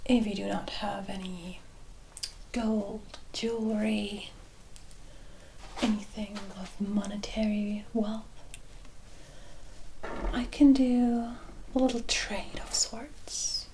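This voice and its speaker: A woman playing a sly, mysterious witch in a whispered, breathy ASMR fantasy roleplay, teasing and deliberate as she addresses the listener. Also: medium pitch, steady tone, noisy background. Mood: sly, bargaining. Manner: slow, deliberate, coy, theatrical, addressing the listener as "my dear".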